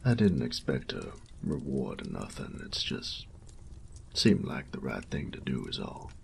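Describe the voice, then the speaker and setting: A man voicing a gruff, modest commoner swordsman in a fantasy audio roleplay, with plain folksy grammar. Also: extremely low pitch, huge pitch swings, a little slow, a little hiss or hum, voice pushed down, full character voice. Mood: modest, awkward.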